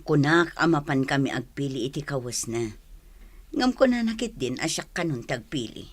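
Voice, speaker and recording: A woman's voice: deep voice, expressive, normal speed, no room sound. A woman acting a bossy middle-aged mother with an Ilocano accent in a radio comedy drama, insistent and scolding. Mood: complaining. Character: bossy middle-aged mother arranging her daughter's wedding.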